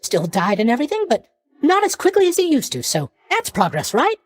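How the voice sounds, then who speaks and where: A man's voice: very high voice, huge pitch swings, brisk, exaggerated voice. A man voicing a sleepy, scatterbrained young god of sleep in a video game, airy, hesitant and guilelessly blunt. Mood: chatty, naive. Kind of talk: animated video game character voice lines.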